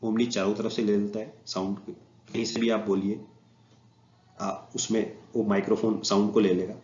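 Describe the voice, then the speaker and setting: Low-voiced Indian man lecturing, echoey recording. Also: flat delivery, normal speed, darkish tone. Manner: mixes Hindi with English technical terms. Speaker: instructor. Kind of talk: slide presentation lecture, explaining informally. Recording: echoey room, muffled lo-fi, faint noise.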